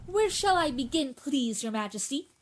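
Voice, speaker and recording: A woman's voice: sits high, big pitch swings, normal speed. A woman in a radio play acting as a fussy, deferential court herald with a formal delivery. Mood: formal, reciting.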